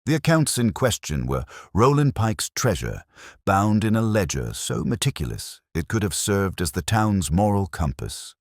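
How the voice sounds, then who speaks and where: A man narrating a scripted detective mystery in a measured, dramatic storytelling manner. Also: fairly low pitch, big pitch swings, dry recording, studio quality. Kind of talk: scripted literary audiobook-style narration.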